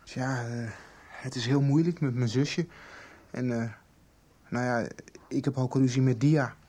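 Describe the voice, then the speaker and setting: A young male actor playing a shy, confused schoolboy in a radio soap, halting and reticent in his speech. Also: mid-range voice, steady tone, mellow, lightly acted. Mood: troubled, flustered. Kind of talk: Dutch radio soap acting.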